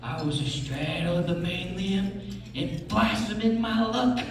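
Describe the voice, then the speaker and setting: A man playing a boastful, excitable Old West teller of tall tales, emphatic and folksy, on stage. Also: high-pitched, a little slow. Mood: excited boasting. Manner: emphatic, folksy, dramatic storytelling. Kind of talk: stage readers theatre performance. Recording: very echoey hall, far mic, noisy.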